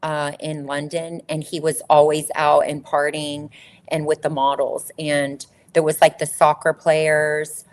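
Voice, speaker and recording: A woman's voice: deep voice, flat delivery, unhurried. A middle-aged woman, a former model, telling her story in an interview with emphatic repetition. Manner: emphatic, repeats phrases for stress. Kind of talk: candid conversational storytelling.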